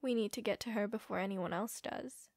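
A woman voicing a bossy, scheming young woman villain in an animated roleplay drama. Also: medium pitch, fairly quick, vocal fry, no echo, studio quality.

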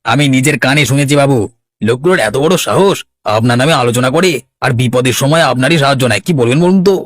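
Man acting fast-talking, scheming cartoon henchman. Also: medium pitch, lively intonation, no echo, lightly acted. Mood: indignant, sly. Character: scheming, sneering assistant to a rich villager.

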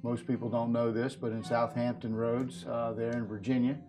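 A middle-aged man speaks as an interview guest in an ordinary mid-range voice. He explains earnestly, building long sentences with halting phrasing. Faint guitar music plays behind him. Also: medium pitch, flat delivery. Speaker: professional farrier, interview guest. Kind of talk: explanatory interview talk about horse nutrition products. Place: indoor video set at a display table.

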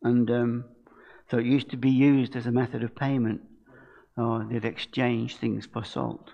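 A man's voice: medium pitch, level tone, measured pace, vocal fry, mellow, lo-fi sound. An older man giving an informal sermon from a lectern in a church, chatting and asking the congregation questions. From England. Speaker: preacher. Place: church hall stage at a lectern.

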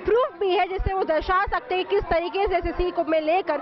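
A young woman field reporter speaking into a handheld mic outdoors at a crowded protest, in a measured reporting tone. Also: very high voice, steady tone. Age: young adult. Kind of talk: street news report. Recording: dull sound, crowd behind.

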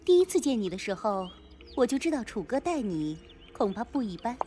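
一名女演员在广播剧中饰演冷酷、有心计、语带嘲讽的帮派女叛徒。. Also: female voice, on the high side, sing-song, brisk, dark tone, soft background music. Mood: cool, composed. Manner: taunting, coolly condescending. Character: cold, scheming female gang insider turned betrayer. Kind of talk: Chinese radio drama acting.

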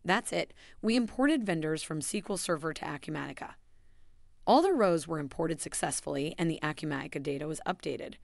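A woman giving a scripted, even voice-over that walks through software steps. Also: low-pitched, fairly quick, gravelly, no echo, clean studio sound. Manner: even, instructional delivery. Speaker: software tutorial narrator.

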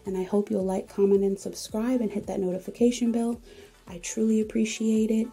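A woman's voice: mid-range voice, steady tone, fairly quick, vocal fry, warm tone, breathy edge, faint music behind. A woman hosting a home cooking channel, giving chatty, encouraging step-by-step recipe voice-over.

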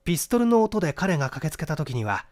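Man reading as an earnest, expressive young gentleman. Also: fairly high pitch, quick pace. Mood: confessional, reasoning. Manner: reasoned, confessional. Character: earnest young gentleman explaining a bitter love rivalry. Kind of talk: dramatized audiobook dialogue.

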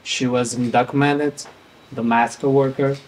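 A young man from Brazil speaks with a Brazilian Portuguese accent at a conference panel table, and his middle-pitched voice often stops short as he searches for words in a language that is not his own. Faint room ambience sits under his voice. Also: little pitch movement, measured pace, slight background noise, rough recording. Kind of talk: panel presentation.

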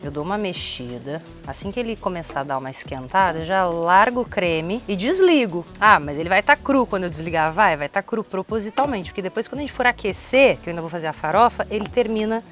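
A woman's voice: mid-range voice, expressive, brisk, hardly any pauses, faint music behind. A woman in her thirties with a Brazilian accent, casually explaining a recipe step by step in an informal, chatty way. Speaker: cooking show host. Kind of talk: casual cooking demonstration. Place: open-sided thatched outdoor kitchen. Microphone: lapel.

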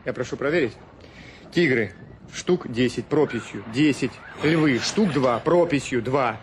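Actor as a glib cargo official, fairly high-voiced. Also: male voice, lightly acted. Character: brisk, slippery cargo official, breezily insistent. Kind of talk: radio play acting. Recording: noisy radio play, outdoor ambience.

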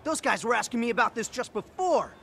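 A man playing an earnest, excitable teenage little brother in a video game cutscene, youthful and easily exasperated. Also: very high voice, a little fast, gravelly, heavily acted.

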